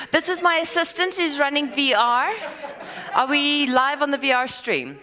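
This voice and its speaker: A woman giving a live conference talk, rambling and self-deprecating with quick asides and apologies. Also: high voice, lively intonation, sharp tone. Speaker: conference speaker. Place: conference hall. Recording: hall reverb.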